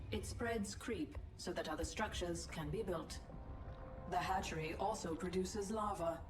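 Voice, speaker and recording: A woman's voice: on the low side, close to an everyday voice. Actress doing female computer announcer, emotionless and formal, scripted game tutorial, distant reverberant sound, music behind. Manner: even, formal, instructive. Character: impassive female computer announcer of a science lab.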